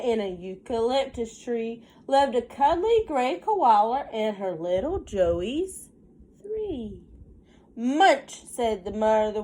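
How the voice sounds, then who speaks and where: A woman reading a picture book aloud to young children like a teacher, in an expressive sing-song way with simple questions and asides. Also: on the high side.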